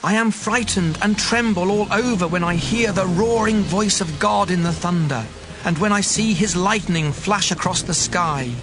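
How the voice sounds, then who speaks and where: A man's voice: sits high, moderate pace, close to an everyday voice, poor audio. An actor playing a devout man who earnestly declaims his awe of God's power in a dramatized audio Bible. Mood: awed. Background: music under the voice.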